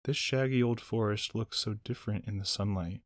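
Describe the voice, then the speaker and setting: A man's voice: lowish voice, fairly quick, no room sound, close to an everyday voice. A man voicing a curious, eager young male hobbit. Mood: wistful.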